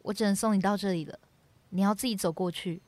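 A woman playing a gentle, reassuring young boy who is a river spirit, in an audio drama. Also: medium pitch, fairly even intonation, quick pace, lightly acted.

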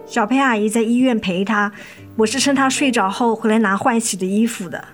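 一位女演员在广播剧中饰演操心又疲惫、爱叮咛的母亲。. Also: female voice, on the high side, a little fast, faint music behind, lightly acted. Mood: sorrowful. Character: worried, weary, nagging mother of two daughters. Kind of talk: radio drama acting.

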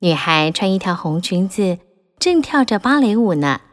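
A woman narrating a children's audiobook in a gentle, expressive storytelling manner. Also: medium pitch, moderate pace.

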